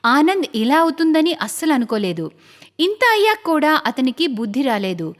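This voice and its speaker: A woman's voice: sits high, very animated, normal speed. A woman narrating a scripted story in a dramatic storytelling style.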